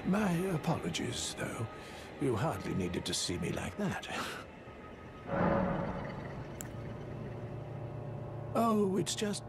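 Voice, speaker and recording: A man playing a fawning, scheming scholar in a video game, obsequious and theatrical, with hesitant politeness and sinister devotion. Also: medium pitch. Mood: apologetic, wistful. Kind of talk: video game character acting.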